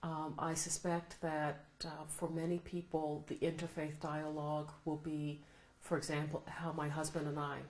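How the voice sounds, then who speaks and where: Middle-aged American woman, low voice, thoughtful interviewee; roomy echo, light hiss. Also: monotone, a little mellow. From United States. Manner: thoughtful, measured, laughs often. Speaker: law professor, interviewee. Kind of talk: reflective interview answers. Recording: roomy echo, faint noise.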